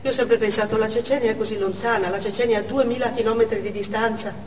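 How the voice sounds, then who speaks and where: A woman's voice: a little high, fairly even intonation, fast talker, darkish tone, lightly acted. An actress on stage playing a frightened, indignant mother held hostage in a theatre, delivering a dramatic monologue. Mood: weary, stunned. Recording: echoey noisy theatre hall from far off.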